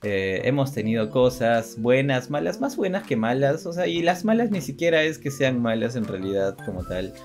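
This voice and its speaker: A man's voice: mid-range voice, big pitch swings, normal speed, hardly any pauses, darkish tone. A young man co-hosting a channel, talking in casual unscripted chat. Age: young adult. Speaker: co-host of a two-person channel. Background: background music.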